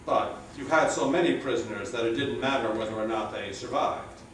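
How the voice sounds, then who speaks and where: A man's voice: medium pitch, fairly quick, a bit bright, natural voice. Stage drama: man as persistent interrogator, pointed and doubting, distant in a heavily echoing room. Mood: probing. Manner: probing, pointed. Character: persistent, skeptical interrogator of a war criminal. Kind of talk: staged play reading. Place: indoor room at a live staged reading, distant mic. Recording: very echoey, noisy room, distant mic.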